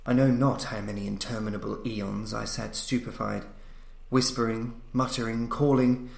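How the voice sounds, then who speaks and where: A man's voice: on the low side, steady tone, moderate pace, gravelly. A man reading a gothic horror story as a dramatic audiobook narrator, solemn and suspenseful. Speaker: narrator, podcast reader. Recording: roomy, echoing voice recording.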